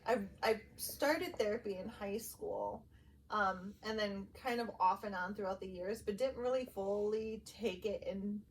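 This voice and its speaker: A woman's voice: medium pitch, measured pace, recorded from a distance, low-quality recording. Candid thirties woman, faint background noise. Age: 30s. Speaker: podcast co-host. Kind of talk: casual personal storytelling.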